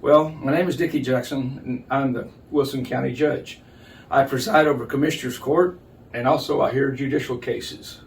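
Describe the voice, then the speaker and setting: An older man, an official being interviewed, explaining things in a measured, halting, plain-spoken way. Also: medium pitch, fairly even intonation. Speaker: county judge, interview guest. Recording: distant mic, noticeable background noise.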